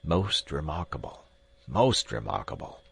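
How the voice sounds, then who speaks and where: A man voicing an absent-minded elderly professor who speaks softly and slowly, muttering to himself. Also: very deep voice, very animated, creaky, slightly rough, no echo, voice pushed down, heavily acted. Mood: mild, muttering. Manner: soft, slow, mumbling to himself.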